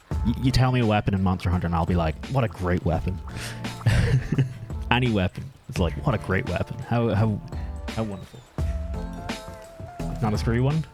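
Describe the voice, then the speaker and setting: A low-voiced Irish man chats away loosely while streaming a game, joking at his own expense and cursing casually. Game music plays clearly underneath his talk. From Ireland. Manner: chatty, self-deprecating, casual cursing. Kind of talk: casual live-stream chat while gaming. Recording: music playing behind.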